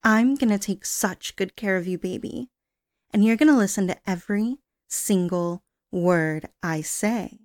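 A woman voicing an unstable, obsessive young woman in an audio roleplay, speaking in a breathy, sing-song coo that turns menacing. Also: mid-range voice, a little slow, darkish tone, no room sound, studio quality, lightly acted. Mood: cooing menace.

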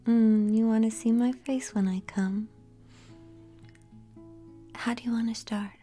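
A woman acting an affectionate, aroused lover in an intimate adult audio roleplay, speaking in a breathy, halting whisper with sighs between phrases. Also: on the high side, fairly even intonation, dark tone, soft background music, close to an everyday voice. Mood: playful, aroused. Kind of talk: intimate erotic audio roleplay addressed to the listener.

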